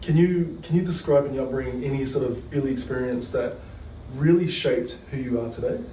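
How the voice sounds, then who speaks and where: A man's voice: medium pitch, a little fast, mellow, roomy sound, far from the mic, a little hiss or hum, low-quality recording. A man with a New Zealand accent hosting a casual podcast interview, joking easily and mixing Māori words into his talk. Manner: laid-back, joking, many fillers, mixes in Māori words. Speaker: podcast host.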